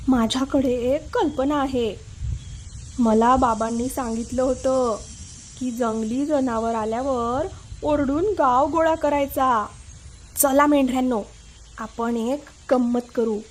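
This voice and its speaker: A woman's voice: sits high, slight background noise. A woman voicing a mischievous, playful young shepherd boy in a children's story. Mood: bored, scheming. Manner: shouting alarms, teasing villagers.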